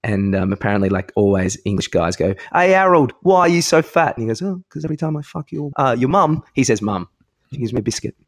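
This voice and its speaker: A man's voice: medium pitch, animated, quick pace. An Australian man co-hosting a podcast, chatting casually about sport and retelling anecdotes in a joking, sweary way. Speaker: podcast co-host.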